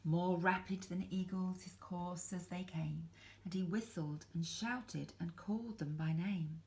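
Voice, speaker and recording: An older woman reading aloud in an expressive storybook style, speaking directly to her listeners. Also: on the low side, measured pace, slight background noise. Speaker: grandmother reading a Christmas story to viewers. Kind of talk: storybook read-aloud, poetry recitation. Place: indoors at home, beside a Christmas tree.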